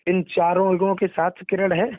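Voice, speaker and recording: A man's voice: sits high, little pitch movement, moderate pace, no echo. A young man, a religious storyteller talking casually on a phone call, explaining in a deferential way. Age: young adult. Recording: recorded phone call, narrow dry sound.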